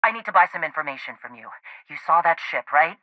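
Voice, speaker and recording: An actress playing a tough, gruff female rebel leader in a video game, with a blunt, salty, commanding manner. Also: low voice, animated, fast talker, dry recording, lo-fi sound. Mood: urgent, pleading.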